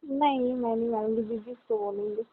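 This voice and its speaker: High-voiced woman on a tinny phone line. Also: steady tone, brisk. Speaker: caller. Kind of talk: casual flirtatious phone chat. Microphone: phone call. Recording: thin narrow-band phone line.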